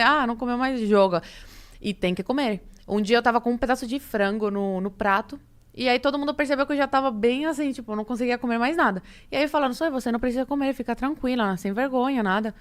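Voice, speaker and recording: A woman's voice: a little high, fast, clean tone. Young Cuban woman chatting animatedly. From Cuba. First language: Spanish. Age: 20s. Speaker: podcast guest. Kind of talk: casual conversational storytelling.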